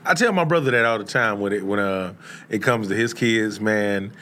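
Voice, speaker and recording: A man's voice: on the low side, moderate pace, fairly bright tone. A man hosting a casual podcast interview, talking in a laid-back, joking way. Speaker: podcast host. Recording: faint background noise.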